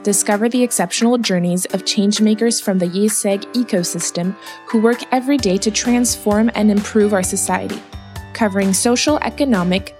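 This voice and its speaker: A woman's voice: medium pitch, a little mellow. A woman hosting a podcast, reading a scripted introduction and putting questions to a guest. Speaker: podcast host.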